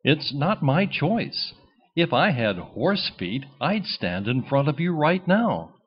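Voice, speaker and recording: A man's voice: on the high side, big pitch swings, slow pace, breathy edge, muffled recording, full character voice. A man voicing a plucky, naive little duckling boy in a children's storybook, eager and pleading. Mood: nervous, pleading.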